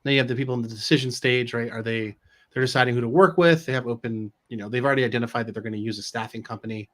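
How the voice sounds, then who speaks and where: A man's voice: mid-range voice, fairly even intonation, very fast, fairly bright tone. A middle-aged man co-hosting a business live stream, giving casual advice and often checking in with rhetorical questions. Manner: informal, peppered with rhetorical check-ins. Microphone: video call.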